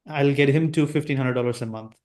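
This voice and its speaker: A man's voice: mid-range voice, brisk, darkish tone. Hesitant man on a business call. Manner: hesitant, many fillers and restarts. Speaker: roofing agency owner.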